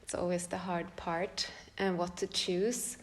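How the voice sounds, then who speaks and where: A woman's voice: on the low side, level tone, unhurried. A woman from Sweden with a Swedish accent, a choreographer chatting as a podcast guest, thoughtful and searching for words with frequent laughter. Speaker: guest choreographer and dance teacher. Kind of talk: casual podcast conversation. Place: large room.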